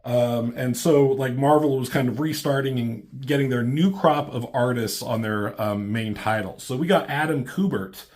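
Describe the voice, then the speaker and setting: A man's voice: medium pitch, a little mellow. Rambling man with a cold. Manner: loose, halting, many ums, casual swearing. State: getting over a cold, voice slightly off. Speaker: comic book reviewer. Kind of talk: casual rambling review. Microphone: camera mic.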